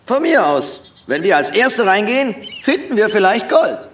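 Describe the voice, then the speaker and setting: A man's voice: sits high, sing-song, moderate pace, a bit bright, reverberant. An actor playing a brash, greedy male soldier with a cocky, needling manner in a video game. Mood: eager, cocky.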